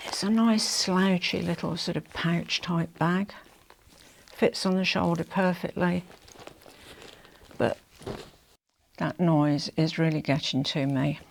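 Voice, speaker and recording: An older woman with a croaky, hoarse throat, chatting casually to camera as a fashion vlogger, rambling with confiding asides. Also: fairly low pitch, steady tone, slow, pauses often, slight background noise. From England.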